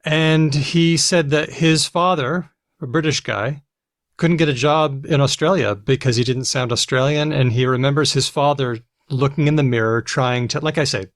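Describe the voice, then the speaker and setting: A man's voice: mid-range voice, normal speed, dry recording, studio quality. An American man hosting a conversational podcast interview, speaking in a reflective, anecdotal way. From United States. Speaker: podcast host and linguist.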